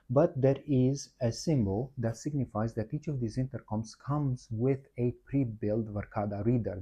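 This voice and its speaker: Mellow-voiced man explaining step by step. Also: medium pitch, breathy edge. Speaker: product presenter, installer. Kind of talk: explanatory product walkthrough narration.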